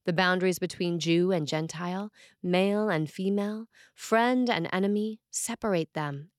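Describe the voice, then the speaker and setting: A woman with a medium-pitched voice reads a sermon as a priest would. Her delivery is measured and earnest, leaning dramatically on key phrases. The recording is close and dry, with no room around the voice. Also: dry recording. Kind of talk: scripted sermon reading.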